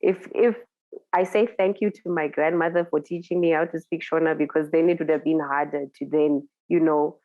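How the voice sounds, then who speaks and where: A woman's voice: low-pitched, fairly even intonation, normal speed, no echo, phone-quality sound. A woman DJ with a Zimbabwean accent, talking casually in an interview, thoughtful and searching for words. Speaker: DJ guest.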